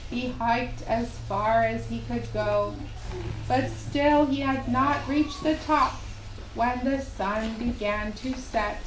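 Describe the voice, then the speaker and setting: A woman telling a scripted children's story in a gentle, deliberate way, as at a puppet show. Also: on the high side. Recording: roomy, distant microphone, faint background noise.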